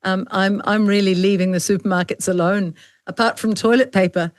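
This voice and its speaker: Female host, online panel chat. Medium pitch, dry sound, earnest and emphatic delivery. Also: steady tone, brisk, no echo. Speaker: interview host. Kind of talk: conversational online panel interview.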